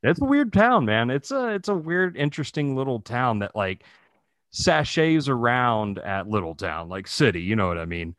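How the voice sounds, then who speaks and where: Animated American man with swooping pitch. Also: moderate pace, dry recording, clean studio sound. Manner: animated, profane, opinionated ranting. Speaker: podcast co-host. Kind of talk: casual chat.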